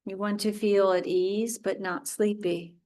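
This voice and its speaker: A woman teaching yoga nidra, guiding a meditation in a soothing, unhurried way with short, spaced phrases. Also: mid-range voice, steady tone, darkish tone. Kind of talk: guided relaxation meditation.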